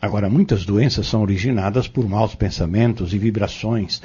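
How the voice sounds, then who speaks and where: A Brazilian man acting in an audio novel as a patient, earnest Spiritist teacher, explaining doctrine in measured, emphatic phrases. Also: fairly low pitch, dark tone, slightly rough. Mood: earnest, instructive. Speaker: Spiritist instructor in an audio drama. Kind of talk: didactic audio-novel dialogue. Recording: dull, lo-fi audio.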